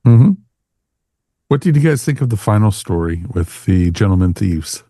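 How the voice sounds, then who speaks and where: A man's voice: on the low side, lively intonation, normal speed, mellow, no echo, clean studio sound. A man hosting a podcast in casual review chat.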